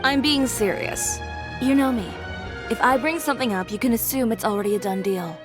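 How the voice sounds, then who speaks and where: A woman's voice: a little high, a little fast, over music, lightly acted. A woman voicing a composed, self-assured female fashion designer who talks in a cool, dryly teasing way.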